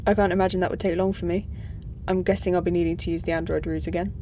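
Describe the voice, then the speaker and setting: An actress playing a thoughtful, earnest starship crew member in a sci-fi audio drama, speaking in a tentative, explanatory way. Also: female voice, lowish voice, little pitch movement, fast talker, warm tone, a little hiss or hum, close to an everyday voice. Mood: subdued.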